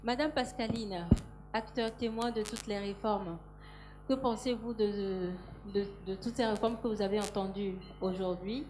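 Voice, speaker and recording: A woman's voice: medium pitch, steady tone, a little slow, darkish tone, faint music behind, low-quality recording. A woman moderating a business panel, politely thanking speakers and asking short questions.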